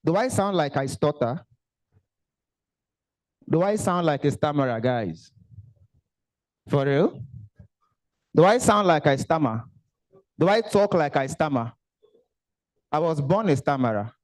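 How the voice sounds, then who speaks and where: A young man with a Nigerian accent giving an animated motivational talk at a career summit, dropping Pidgin asides and bantering with the audience. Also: a little high, unhurried, pauses often. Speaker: motivational speaker. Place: event hall.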